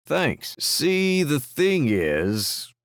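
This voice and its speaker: An actor voicing a chatty heist crew member who banters in dry, close studio lines. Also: male voice, a little high, extremely slow, no echo, exaggerated voice. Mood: bantering. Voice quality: conversational, lively banter. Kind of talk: video game voice lines.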